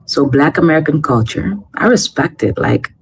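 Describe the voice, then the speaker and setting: A woman's voice: sits low, expressive, normal speed. A woman from Ghana speaking in a played clip, musing in a hesitant way with fillers and rhetorical questions. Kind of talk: casual opinion talk. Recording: noisy clip audio.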